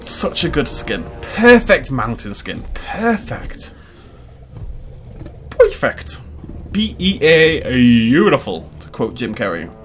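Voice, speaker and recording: A man's voice: medium pitch, very animated, slow pace, faint music behind. A man giving casual, chatty commentary while building a park in a video game, laughing often and making playful asides. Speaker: game channel host.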